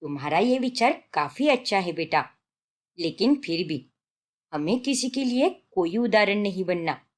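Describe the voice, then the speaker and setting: A woman voicing an elderly grandmother in a family story, patient and principled, gently admonishing as she moralizes. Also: medium pitch, lively intonation, measured pace, close to an everyday voice. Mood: gently firm.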